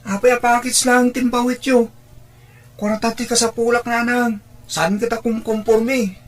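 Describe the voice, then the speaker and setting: A woman's voice: on the high side, level tone, a little slow. A woman playing a demanding, petulant schoolboy son in a radio drama, with a Filipino accent. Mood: sulky, demanding. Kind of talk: Ilocano radio drama acting.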